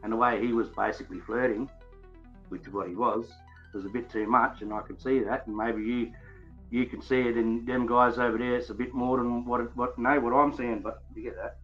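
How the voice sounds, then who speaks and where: A man's voice: mid-range voice, fairly even intonation, fairly quick, dull audio, faint music behind. A man with a broad Australian accent talking casually in a live online debate, laughing often. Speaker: debate guest. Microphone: desktop microphone.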